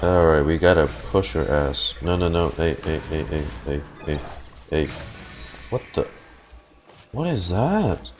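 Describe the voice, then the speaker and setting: A man's voice: deep voice, expressive, a little slow, long pauses, a little mellow. A man giving casual, rambling livestream commentary on a game in informal language with some mild swearing. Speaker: game streamer. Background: game music.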